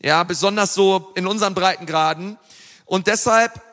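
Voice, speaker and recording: A man delivers a sermon with earnest emphasis, often pausing on fillers and restarting phrases. His voice sits high and rings bright and forceful. The sound carries a long reverb from a large church hall. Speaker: preacher.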